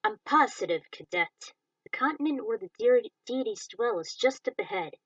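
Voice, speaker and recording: A woman's voice: a little high, animated, normal speed, a bit bright, no echo, far from the mic, lo-fi sound. Lady reading as a no-nonsense female space pilot, stern and explainy, story dialogue, dry sound. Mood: firm, instructive. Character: firm, knowing woman pilot briefing her cadets.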